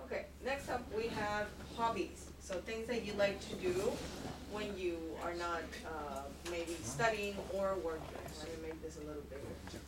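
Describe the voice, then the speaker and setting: A woman teaching an English conversation class, talking slowly and clearly. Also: on the low side, noisy background. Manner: slow, clear teacher talk. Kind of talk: classroom instruction. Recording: echoey classroom from far off.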